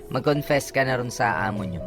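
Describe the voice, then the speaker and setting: A man with a Filipino accent works as a radio co-host over a clear music bed. He talks casually, teasing and bluntly advising the listeners. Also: mid-range voice, expressive, brisk.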